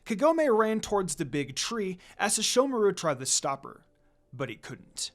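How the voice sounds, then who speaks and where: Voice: mid-range voice, sing-song, moderate pace. A reader narrating a story in a dramatic amateur style.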